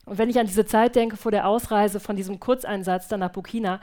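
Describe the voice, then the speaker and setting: A woman back from mission work who speaks earnestly and reflectively at a church service, shares a testimony with hesitant restarts, then prays quietly. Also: medium pitch, fairly even intonation, brisk. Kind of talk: church testimony followed by spoken prayer.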